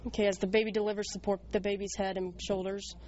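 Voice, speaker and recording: A woman's voice: medium pitch, level tone, fast talker, darkish tone, dull audio, a little hiss or hum. An American woman who works as an emergency dispatcher, giving call instructions and plain interview talk.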